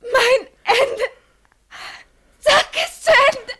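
Radio play lover: very high voice, drawn-out delivery, anguished devotion, overwrought and theatrical. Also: female voice, a bit bright, heavily acted. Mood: anguished, ecstatic. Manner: theatrical, emotionally overwrought, breathy at times. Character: surrendering, devoted female lover, fervent and anguished. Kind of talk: literary radio play acting.

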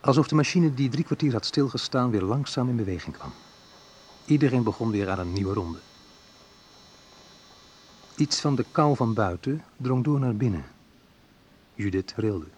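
An actor playing a wistful man recounting his youth in a reflective, measured radio play narration, with a slightly husky voice. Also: fairly low pitch, slight background noise, close to an everyday voice. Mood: subdued. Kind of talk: literary first-person radio play narration.

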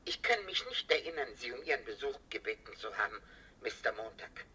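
An actor playing a frail, frightened, suspicious old man with a thin, husky voice in a radio drama. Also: mid-range voice, sing-song, fairly quick, sharp tone, a little hiss or hum. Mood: fearful, suspicious. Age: older. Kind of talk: 1970 German radio drama acting.

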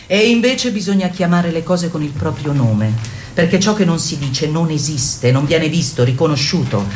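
A woman's voice: low-pitched, animated, normal speed, a little mellow, slight background noise. An actress playing an assertive female therapist who leads a women's group in a didactic, declamatory way in a radio play. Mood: didactic, insistent. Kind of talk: theatrical radio play monologue.

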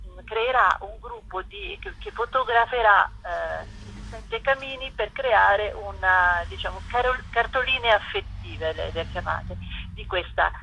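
A woman's voice: mid-range voice, gravelly, sharp tone, breathy edge. A woman speaking as a festival curator in a spontaneous radio phone interview, often searching for words. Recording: muffled, dull phone line.

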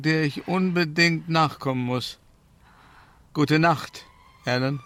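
An actor playing a polite, formal older gentleman who is a fanatical murderer, smoothly menacing, in a radio drama. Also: fairly high pitch, natural voice. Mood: gallant farewell. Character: courteous, fanatical older gentleman murderer, self-styled scientist.

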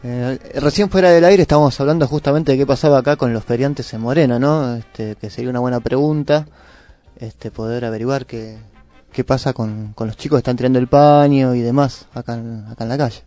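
A man's voice: medium pitch, fairly quick, mellow, no room sound. A man chatting casually as a radio co-host, hesitant and searching for words. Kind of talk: casual chat. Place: radio studio. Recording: music playing behind the voice. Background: music.

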